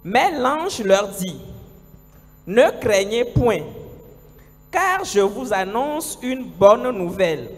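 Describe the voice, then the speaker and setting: A high-pitched man preaches with lively swings of pitch, pressing each phrase hard. His handheld microphone carries him into a large church hall, where the sound trails off in a long echo. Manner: emphatic preaching, rising to exclamations. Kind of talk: sermon delivered in two languages, line by line.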